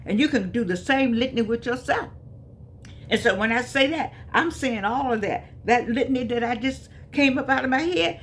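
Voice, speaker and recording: A woman's voice: fairly high pitch, a little hiss or hum. A woman in her eighties giving a casual motivational talk to her viewers, folksy and emphatic, often repeating herself. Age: 80s. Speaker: vlogger.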